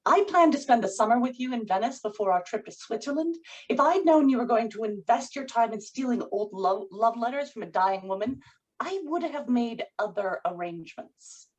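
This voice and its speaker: A woman's voice: a little high, lively intonation, moderate pace, low-quality recording. A woman at a screenplay table read playing a sardonic, disapproving lover with dry, arch, pointed delivery. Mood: sardonic, irritated.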